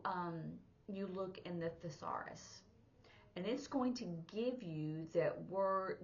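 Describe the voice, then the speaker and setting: A woman's voice: on the low side, dull audio. A woman giving an informal spoken sign language lesson, rambling conversationally as she explains things through examples. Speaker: sign language teacher and interpreter.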